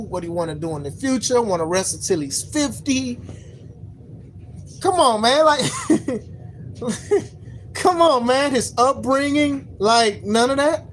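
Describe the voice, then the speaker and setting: Podcast host, 30s, mid-pitched, casual rambling takes, slangy and repetitive. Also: a bit bright, slight background noise. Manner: rambling, repeats words, slangy. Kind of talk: casual opinion commentary.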